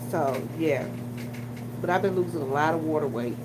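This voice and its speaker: A woman from Washington, D.C. talking casually and colloquially to viewers, telling stories in an animated way. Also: low-pitched, moderate pace. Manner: animated, colloquial, acts out other people's remarks. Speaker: vlogger and gas station worker. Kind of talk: casual chatty storytelling to viewers. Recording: noisy room with loud hum. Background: loud humming background noise.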